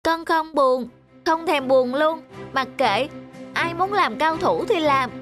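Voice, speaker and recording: A woman's voice: high-pitched, slow pace. A woman voicing a cocky, stubborn little schoolboy in a cartoon, sulky and boastful. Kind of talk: cartoon voice acting. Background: music.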